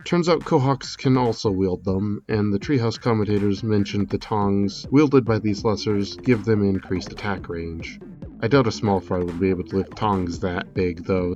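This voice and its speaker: A man giving a measured, explanatory voice-over commentary, semi-scripted, as a video creator analysing a game trailer. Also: fairly low pitch, darkish tone, dull audio.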